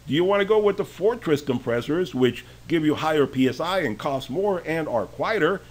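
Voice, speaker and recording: A man's voice: a little high, expressive, normal speed. A man talking casually and with strong opinions as he walks through store sale prices, with frequent fillers. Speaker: deal review host. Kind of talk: screen-share sale walkthrough.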